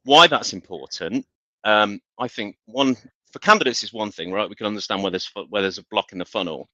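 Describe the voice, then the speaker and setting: A man explaining things in a measured, consultant-like way as an expert on a business webinar. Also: fairly low pitch, pushed and bright, no echo. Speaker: industry expert panelist. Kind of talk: conversational business webinar discussion. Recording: dull, band-limited online call audio.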